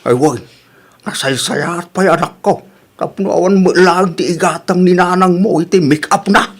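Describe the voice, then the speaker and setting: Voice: medium pitch, moderate pace, breathy edge. A performer with an Ilocano accent playing a grumbling, complaining old husband and father in a radio comedy drama, with exaggerated exclamations. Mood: resigned grumbling.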